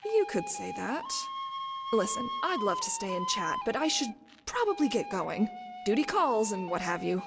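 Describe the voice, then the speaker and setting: A woman's voice: mid-range voice, sing-song, normal speed, gravelly. A woman voicing a guarded, breezy desert-born warrior woman in a video game, talking in a wry, casual way. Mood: breezy, evasive. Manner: wry, casual, brush-off banter. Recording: long reverb, music behind. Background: game music.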